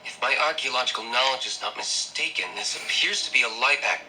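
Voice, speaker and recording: A man playing a serious, reserved and analytical gentleman warrior in an anime fantasy game, measured and understated. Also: mid-range voice, slight background noise, poor audio.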